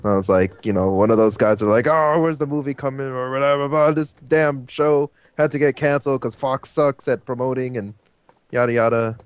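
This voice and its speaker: A man's voice: medium pitch, fairly even intonation, fairly quick, smooth, no room sound. A young man as a podcast guest in casual chat, laid-back and grumbling mildly.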